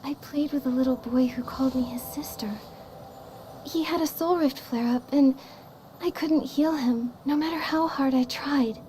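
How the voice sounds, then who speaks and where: A woman voicing a kind, earnest young heroine in a video game, speaking gently and carefully with a soft tone. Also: high-pitched, normal speed, slight background noise, close to an everyday voice. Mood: somber, careful. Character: kind, earnest young heroine recounting what she saw. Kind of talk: video game story acting. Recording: faint music behind the voice.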